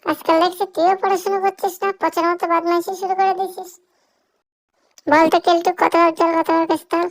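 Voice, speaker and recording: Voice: very high-pitched, level tone, fairly quick. A performer voicing a strict, bossy cartoon schoolteacher who scolds and instructs pupils in a comedy dub. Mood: scolding.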